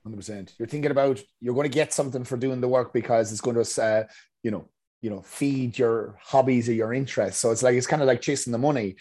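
A man's voice: medium pitch, fairly even intonation, fast, mellow. A man in his late thirties hosting a casual podcast, bantering with lots of swearing. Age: 30s. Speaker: podcast host.

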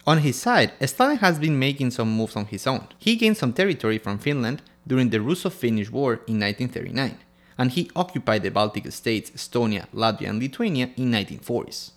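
A man from Ecuador co-hosts a scripted history podcast and reads his prepared lines with a lively, swinging intonation. A faint music bed runs under his voice. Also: medium pitch, brisk. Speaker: podcast co-host.

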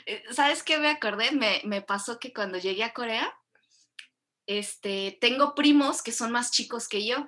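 Bright, lively woman host. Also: a little high, unhurried. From Mexico. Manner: animated, rambling with self-corrections. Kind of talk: casual conversational interview.

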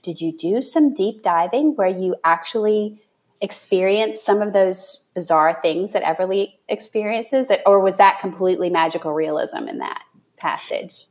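A woman interviews as a podcast host in a conversational register. Her voice sits at a middle pitch. She talks in a halting, thoughtful way, with effusive phrasing. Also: darkish tone.

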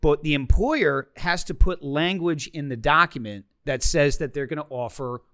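Male financial advisor, methodical explainer counting off points, slightly higher voice, dry sound. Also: normal speed, fairly bright tone, no room sound. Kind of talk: explaining finances in a casual chat.

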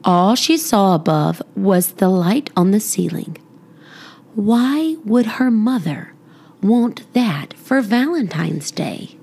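A woman reading a children's storybook aloud as a scripted narrator, expressive and deliberate. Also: medium pitch, vocal fry, slightly rough. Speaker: children's book narrator, podcast reader.

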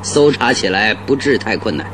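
An actor playing a hearty, triumphant detective in a theatrical Chinese radio drama style. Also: male voice, lowish voice, expressive, moderate pace, a bit bright, a little hiss or hum. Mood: confident, teasing. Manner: exclaiming, chuckling, theatrical. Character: triumphant, confident male detective, hearty and teasing. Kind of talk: old-time Chinese radio drama acting. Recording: radio drama with music underneath.

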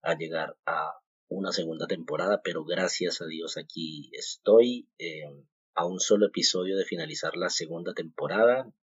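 A man from Colombia in his thirties hosting a podcast, sharing a devotional thought in a halting way, with many fillers and restarts. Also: sits low, slightly rough, no echo. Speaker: podcast host. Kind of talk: casual devotional talk. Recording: muffled, dull home recording.

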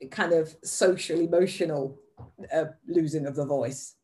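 Mellow, lowish-voiced woman interviewer. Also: unhurried. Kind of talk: conversational interview.

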